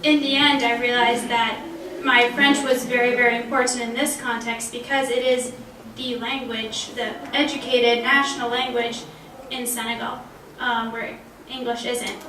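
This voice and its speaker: A woman's voice: a little high, little pitch movement, moderate pace, hardly any pauses. A young woman in her twenties, speaking conversationally as a panelist and sharing personal anecdotes. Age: 20s. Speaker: panelist, language graduate. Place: college panel event room. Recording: roomy, distant mic, faint background noise.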